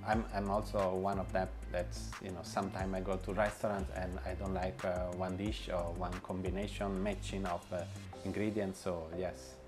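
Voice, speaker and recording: A man's voice: low-pitched, flat delivery, normal speed. A man in his forties, a chef and restaurant owner, answering interview questions in a hesitant way, with many fillers, restarts and grammar. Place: bright indoor room with light wall. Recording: music bed under voice. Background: background music.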